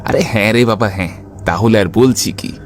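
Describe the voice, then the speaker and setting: A man's voice: fairly low pitch, brisk, faint music behind, close to an everyday voice. A man playing an uneasy, exasperated village tradesman in a cartoon, earnest and grumbling. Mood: exasperated, insistent. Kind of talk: cartoon voice acting.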